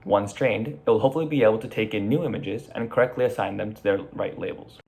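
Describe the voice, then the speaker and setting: A teenage boy reads his part of a student project presentation at a brisk clip. His low voice has a gravelly edge. He speaks into a laptop mic at home. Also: a little mellow. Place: room at home.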